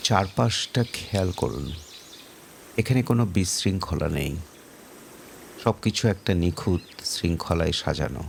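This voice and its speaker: A man narrating a guided meditation in a measured way, pausing between short phrases. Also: deep voice, lively intonation, gravelly, a little hiss or hum. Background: faint music.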